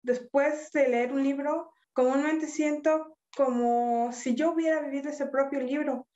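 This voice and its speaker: A woman's voice: high-pitched, steady tone, unhurried, dark tone. A young woman giving earnest, reflective interview answers. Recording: dull lo-fi sound.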